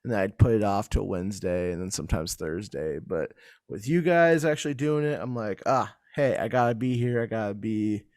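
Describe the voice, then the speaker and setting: A man's voice: fairly low pitch, brisk, darkish tone, no echo, studio quality. A man hosting a casual podcast, swearing freely and laughing between stories. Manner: loose, swears a lot, laughs mid-sentence. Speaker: podcast host. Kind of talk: casual banter.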